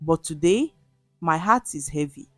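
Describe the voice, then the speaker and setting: A woman voicing a frail, regretful old man, a chief near death, in a storybook tale, speaking weakly and haltingly. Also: low-pitched, animated, a bit bright, dry recording, soft background music, exaggerated voice. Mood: sorrowful, confessional. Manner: weak, halting, confessional. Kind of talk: storybook narration dialogue.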